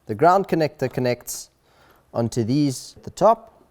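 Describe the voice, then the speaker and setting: A man presenting an instructional video in a clear, deliberate, step-by-step way. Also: mid-range voice, animated, darkish tone. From South Africa.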